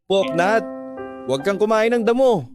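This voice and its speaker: A man's voice: sits high, expressive, moderate pace, music in the background, voice pushed up. A Filipino man voicing a guarded, coy young woman in a radio love drama. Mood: scolding.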